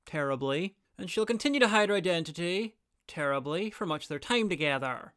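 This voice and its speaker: Wry man narrating with a clear voice. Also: fairly high pitch, lively intonation, fairly quick, a bit bright. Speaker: reviewer, presenter. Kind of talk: scripted review narration.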